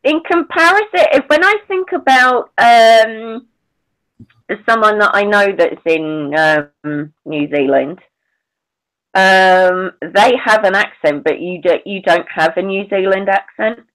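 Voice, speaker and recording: A woman's voice: mid-range voice, slow pace, fairly bright tone, poor audio. A woman chatting casually on an online panel, hesitant, often restarting her sentences and trailing off. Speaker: participant in an online panel chat. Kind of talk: casual chat.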